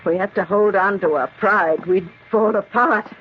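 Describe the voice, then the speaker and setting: A woman's voice: mid-range voice, moderate pace, lightly acted. Elderly lady played by an actress, hesitant but proud, kindly radio-drama neighbour, dull hissy recording. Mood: proud, resigned. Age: older. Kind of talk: 1970s radio drama acting.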